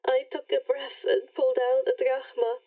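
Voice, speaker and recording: A woman narrating fan fiction in an expressive audiobook style. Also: sits high, moderate pace, narrow, tinny audio.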